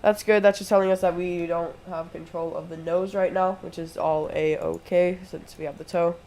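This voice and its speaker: A man's voice: on the high side, steady tone, moderate pace, darkish tone, a little hiss or hum. A congested young man, sick with a throat infection, streaming from home and chatting through each step of a flight simulator session at his desk mic. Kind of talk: casual live play-by-play.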